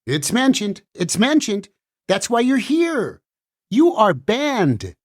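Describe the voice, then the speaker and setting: A woman's voice: a little high, sing-song, slow pace, a little mellow, no room sound, studio quality, exaggerated voice. Woman as a dramatic, swooping doomed book. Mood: grim, dramatic. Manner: dramatic, urgent, interrogating. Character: frantic, world-weary banned book, burned and warning others. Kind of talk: musical puppet show acting.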